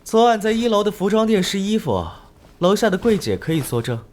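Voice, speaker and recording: An actress playing a brazen, flirtatious, sharp-tongued female assistant in an animated crime drama, with a sassy, gossipy drawl. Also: medium pitch, fairly quick, close to an everyday voice. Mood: gossipy, catty.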